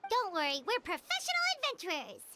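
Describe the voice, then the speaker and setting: A woman's voice: very high-pitched, big pitch swings, quick pace, heavy background noise. A woman voicing a small, childlike floating companion in a video game, chirpy and earnest. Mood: eager, bluffing. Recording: music behind the voice.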